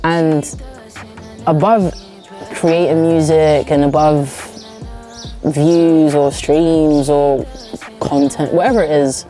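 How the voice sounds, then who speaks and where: A woman's voice: deep voice, slow pace, long pauses, faint music behind. A woman, a recording artist talking casually in an interview, with lots of "like" and "um" fillers. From South London. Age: 20s. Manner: relaxed, many "like" and "um" fillers. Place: villa.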